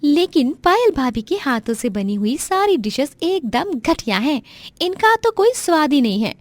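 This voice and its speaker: Woman acting a bratty, high-voiced young woman. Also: big pitch swings, moderate pace, no echo. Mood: scornful complaining. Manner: petulant, scornful. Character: bratty, complaining young sister-in-law. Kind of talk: dramatized Hindi family story.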